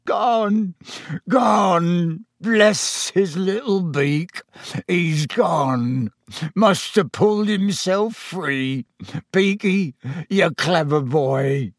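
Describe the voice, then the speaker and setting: A man voicing a big, tender-hearted gamekeeper with a husky, emotional delivery for an audiobook. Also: sits high, drawn-out words, no echo, clean studio sound, pitched above the performer's own voice, heavily acted. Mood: tearful joy. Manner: emotional, plain-spoken.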